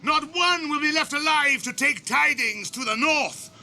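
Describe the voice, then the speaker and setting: A British actor in a radio drama playing a noble, steadfast warrior, formal, stern and resolute. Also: male voice, very high voice, a little slow, pushed and bright, full character voice. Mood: loud defiance.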